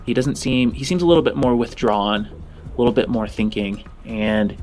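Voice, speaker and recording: A man improvising narration as game master of a tabletop game, in a halting, informal, descriptive way. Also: medium pitch, a little mellow, soft background music.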